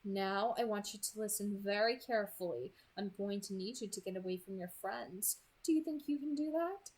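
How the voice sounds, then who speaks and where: A woman voicing a pleasant, coolly amused male kidnapper in an audiobook, polite and controlling, with a light, smooth delivery. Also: mid-range voice, normal speed, darkish tone, natural voice. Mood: politely menacing. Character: pleasant, softly menacing man, coolly amused kidnapper on phone.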